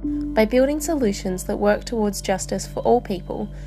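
A woman's voice: mid-range voice, moderate pace, dark tone, faint music behind. A young adult woman reading scripted narration.

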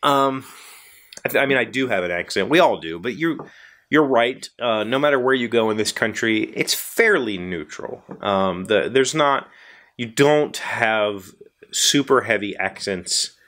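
A man's voice: mid-range voice, animated, a little slow, fairly bright tone. A man in his forties with an American accent, chatting casually and in a rambling, self-deprecating way as the host of a video show. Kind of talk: casual chat to viewers. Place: small indoor workshop crowded with tools.

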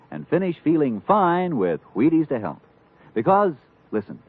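A man's voice: mid-range voice, husky, narrow, tinny audio. An American man announcing a vintage radio commercial in an emphatic, punchy sales manner. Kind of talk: 1950s radio advertisement. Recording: old band-limited radio recording.

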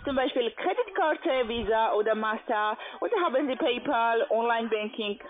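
A woman is selling over a tinny phone line in accented, non-native German. Her voice is fairly high and swings widely in pitch. Her phrasing is halting and formal, like a call centre agent working through a pitch. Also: animated. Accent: foreign, non-native German. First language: not German. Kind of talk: telephone sales pitch.

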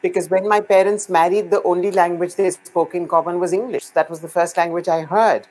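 A woman's voice: lowish voice, fairly even intonation, a little fast, darkish tone, low-quality recording. A middle-aged woman with an Indian accent, a guest speaking confidently and emphatically on a video call. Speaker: guest dancer. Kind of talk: video call panel talk. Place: indoor room. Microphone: laptop webcam on a video call.

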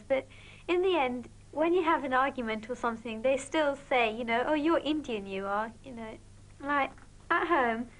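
A woman's voice: sits high, a bit bright, low-quality recording. A teenage female student with a British accent giving informal interview answers. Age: teens. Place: small indoor classroom.

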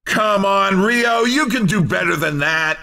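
A man voicing an excitable, showy race commentator in a video game, with theatrical, emphatic exclamations. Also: high voice, measured pace, vocal fry, recorded from a distance, full character voice. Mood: mock dismay. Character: excitable male arcade racing game announcer, showy and quick to tease.

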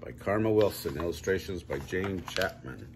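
An older man reading a children's story aloud at home in measured, drawn-out storybook phrasing. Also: low voice, expressive, background noise. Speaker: grandpa reading a picture book aloud. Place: small home room, indoors.

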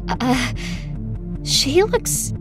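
A woman's voice: high-pitched, sing-song, extremely slow, music in the background, full character voice. An actress playing a sociable, supportive young woman in casual drama dialogue.

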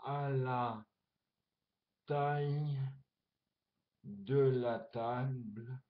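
A man reciting his own poem as an amateur poet, haltingly, phrase by phrase with long pauses. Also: medium pitch, steady tone. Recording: dull lo-fi amateur recording.

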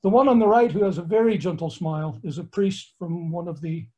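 A man's voice: high voice, fairly quick, a little mellow, dull audio, a little hiss or hum. An older American man, an astronomer, giving a friendly slideshow lecture from his home study over a video call. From United States.

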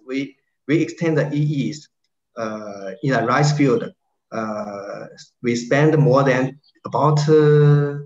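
Slow, halting man with a Chinese accent. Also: medium pitch, darkish tone. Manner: halting, many fillers and restarts, searching for words. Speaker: invited speaker, agricultural researcher. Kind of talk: Q&A on a video call. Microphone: video call. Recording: dull lo-fi call audio in an echoey room.

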